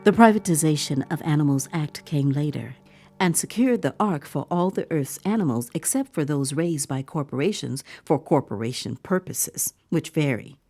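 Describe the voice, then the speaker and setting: Low, creaky awed, wry storyteller. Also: female voice, expressive, normal speed, warm tone. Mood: dry irony. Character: awed theme-park visitor in a bleak future, speaking as "we". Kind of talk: dramatic first-person audio fiction narration.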